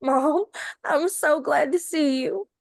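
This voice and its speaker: A woman acts out an anxious young beauty queen with a high voice. She sounds flustered and guilty, ready to plead, in a dry-sounding script table read. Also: takes it slowly, gravelly, no echo, exaggerated voice. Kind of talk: screenplay table read acting.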